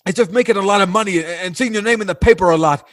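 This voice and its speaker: A plain-spoken North American working man from a 1940s radio drama, played by a male actor. He gropes for words in slangy, halting phrases, earnest and indignant about the rich. The sound is dry and close. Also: high voice, a bit bright, no echo. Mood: impassioned fury.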